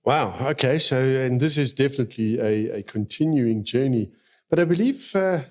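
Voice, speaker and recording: A man's voice: medium pitch, moderate pace. A man hosting an interview podcast, hesitant, with many fillers between phrases. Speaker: podcast host.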